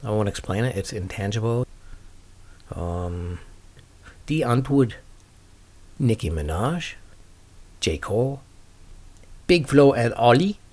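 Male podcast host, mid-pitched voice, off-the-cuff rambling delivery with a dry sense of humour. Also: animated, slow pace, long pauses, a little mellow. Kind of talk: casual rambling chat, answering viewer questions.

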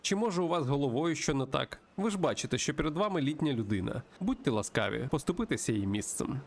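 A man's voice: medium pitch, lively intonation, fast talker, a little hiss or hum, close to an everyday voice. A man voicing an indignant, sarcastic man in his fifties from a visual novel, scolding in a caustic manner.

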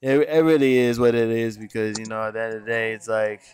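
A man's voice: on the low side, level tone, fast, a little mellow, clear, resonant tone. A man hosting a live stream, rambling casually and grumbling with some swearing.

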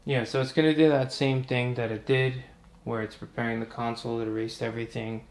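Gravelly-voiced young man, roomy home recording. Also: medium pitch, fairly quick. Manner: relaxed, chatty, talks while working. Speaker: tutorial host. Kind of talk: casual step-by-step tutorial. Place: living room with TV.

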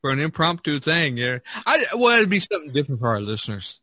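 A man's voice: a little high, very animated, quick pace, bright, forceful tone, no room sound. A man hosting a casual unscripted podcast, talking in a rambling way and repeating his phrases. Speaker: podcast host.

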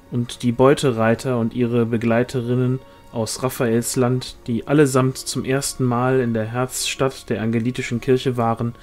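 A man's voice: mid-range voice, a little mellow. A man reading a fantasy novel aloud as scripted audiobook narration. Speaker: audiobook reader. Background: music.

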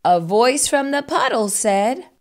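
High-voiced, animated woman reading a storybook. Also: takes it slowly. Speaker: storytime host. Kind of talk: expressive children's storybook read-aloud.

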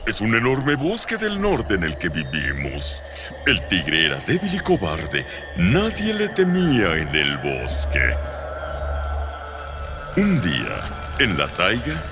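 Big old cartoon bear, kindly storyteller, theatrical, wildly swooping pitch, loud music behind. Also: male voice. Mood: warm, musing. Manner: theatrical, slow, grandfatherly.

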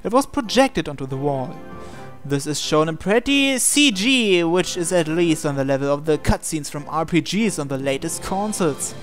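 A man's voice: a little high, animated, moderate pace. A man reading visual novel narration and dialogue aloud as a gaming video host. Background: game music.

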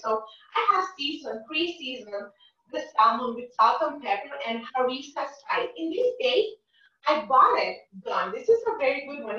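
A middle-aged woman chef explains each step of a dish as she cooks. Her voice sits a little high and keeps checking in with the viewers. She is recorded from across a home kitchen, so the sound is roomy, distant and dull. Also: sharp tone. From Dominican and Cuban family. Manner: chatty, explains while she cooks, says "right?" often. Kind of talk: live cooking demonstration. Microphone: camera across the kitchen.

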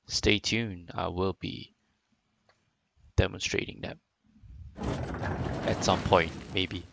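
Very slow, creaky low-voiced man gaming. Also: flat delivery, background noise. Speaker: gamer. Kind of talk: casual gameplay commentary. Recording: game music behind voice.

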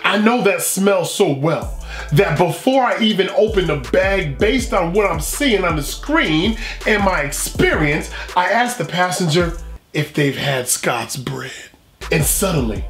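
A man's voice: sits high, animated, unhurried, fairly bright tone. Middle-aged man, exuberant food vlogger, emphatic and playful, roomy echo, music behind. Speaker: food reviewer and vlog host. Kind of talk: animated food vlog, reading reviews aloud with playful commentary. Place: small furnished room indoors. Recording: echoey indoor room.

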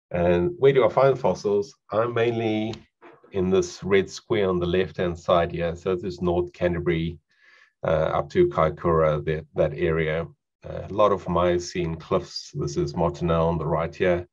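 A middle-aged man with a South African accent gives a relaxed online presentation with lots of fillers, over a video call microphone. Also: low voice, slightly rough, muffled recording. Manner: relaxed, conversational, frequent fillers.

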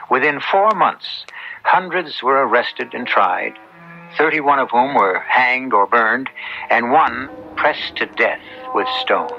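A man hosting a radio mystery series, narrating a script in an ominous, deliberate, theatrical way. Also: mid-range voice, bright, forceful tone, a little hiss or hum. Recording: dull old radio broadcast with music.

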